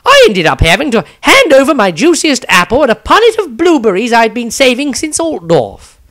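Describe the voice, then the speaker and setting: A man's voice: very high voice, huge pitch swings, normal speed, a bit bright, voice pushed up, exaggerated voice. A man voicing an eccentric, grumbling wizard diarist in a fantasy story, theatrical, rambling and wry. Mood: indignant, chatty. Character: eccentric, grumbling, self-satisfied male wizard adventurer diarist.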